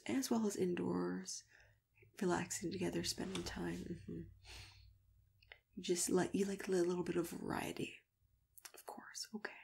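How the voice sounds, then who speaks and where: A woman playing a kindly, patient matchmaker in an ASMR roleplay, speaking softly and often whispering in a gentle, hesitant way. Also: on the low side, full character voice. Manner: gentle, hesitant, murmured acknowledgements. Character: kindly, patient female monster matchmaker interviewing a client. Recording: clear background noise, keyboard typing and clicks. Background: computer keyboard typing.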